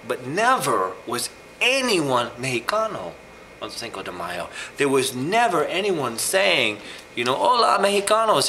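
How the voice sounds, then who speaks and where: A man's voice: medium pitch, pushed and bright, a little hiss or hum, low-quality recording. A male interviewer asking pointed, probing questions about community coverage.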